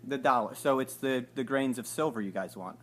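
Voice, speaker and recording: A man in his forties at home, asking slow, hesitant, mock-innocent questions on a recorded phone call. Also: mid-range voice, crowd noise. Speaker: caller. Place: home living room by a window.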